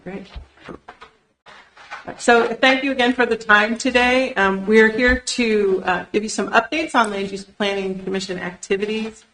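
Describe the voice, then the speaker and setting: A woman's voice: mid-range voice, fairly even intonation, measured pace, a bit bright, slight background noise. A woman, a state land use planner, gives an organized presentation with "uh" fillers to county commissioners at a public meeting. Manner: organized, explanatory, with frequent "uh" fillers. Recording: roomy public meeting recording.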